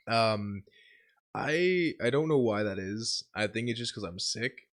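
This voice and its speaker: A young man sick with a cold, his voice deeper than usual, telling a rambling vlog story with lots of swearing and laughter. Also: slow, fairly bright tone. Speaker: channel host. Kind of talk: casual vlog update.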